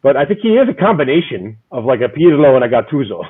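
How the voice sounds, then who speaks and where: A man hosting a casual fan chat, loud and rambling, often repeating his words. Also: mid-range voice, sing-song, brisk, husky, narrow, tinny audio. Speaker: podcast host.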